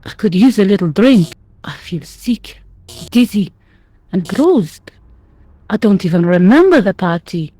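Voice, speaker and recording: A groggy French woman grumbles bluntly through adventure game dialogue. Her French-accented voice is mellow and middling in pitch, with a dry, close sound. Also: sing-song, unhurried, pauses often, dry recording. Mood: groggy, aching. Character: blunt, groggy French café waitress who likes a drink.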